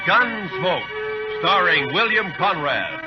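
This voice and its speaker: Dramatic male radio announcer over loud music. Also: sits high, very animated, takes it slowly. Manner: dramatic, emphatic. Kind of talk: 1950s radio announcing, scripted narration. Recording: dull old radio recording, loud music.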